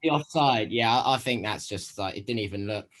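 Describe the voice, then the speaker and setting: A man's voice: on the low side, a little fast, fairly bright tone. A man giving blunt, clipped football opinions in a casual podcast chat. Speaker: podcast panellist.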